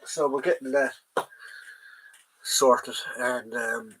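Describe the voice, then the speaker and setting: A man's voice: medium pitch, fairly even intonation, slow pace, a bit bright, slight background noise, low-quality recording. Stuffy-nosed Irish man chatting live. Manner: rambling, greets viewers by name, many ums. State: stuffy nose from a head cold. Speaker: livestream host, collector. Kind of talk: casual live chat. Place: at home.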